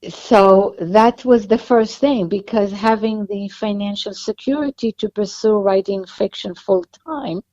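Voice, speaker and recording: Israeli-accented woman, author guest, mid-pitched, talks on and on, muffled line. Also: a little slow, hardly any pauses, darkish tone. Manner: chatty, explains at length with restarts.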